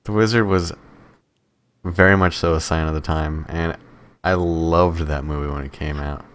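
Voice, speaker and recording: A man with a deep, low-pitched voice chats as a podcast co-host. His delivery is casual and blunt, opinionated and peppered with swearing. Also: fairly even intonation, normal speed, clear, resonant tone.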